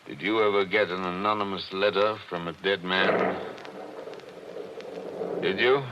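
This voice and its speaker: A tense, haunted grown lawyer whose deep voice comes out urgent and brooding. The words carry the heightened delivery of vintage radio drama. The sound is dull and muffled, like an old broadcast recording. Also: male voice, steady tone, a little slow, noisy background. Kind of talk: 1940s radio acting.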